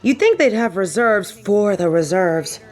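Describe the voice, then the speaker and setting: A woman's voice: medium pitch, animated, close to an everyday voice. A woman playing a sardonic, vengeful supervillain with a tender side for her partner, in an intimate audio roleplay. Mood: teasing, fond. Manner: teasing, sighing, halting when confessing.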